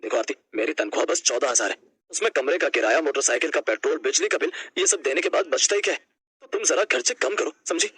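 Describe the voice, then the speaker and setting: An actor playing a young working husband in a family story, with a husky voice. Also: male voice, fairly high pitch, expressive, fast, sharp tone, heavily acted. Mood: exasperated. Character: young working husband, exasperated, strapped for money. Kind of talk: animated storybook acting.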